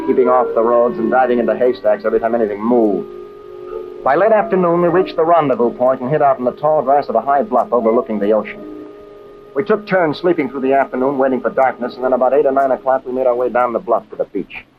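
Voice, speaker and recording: A man's voice: medium pitch, fast talker, music in the background, natural voice. An actor playing a tough, wisecracking American adventurer in an old-time radio drama, slangy and wry as he talks and narrates. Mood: terse narrating. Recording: dull, noisy vintage radio recording.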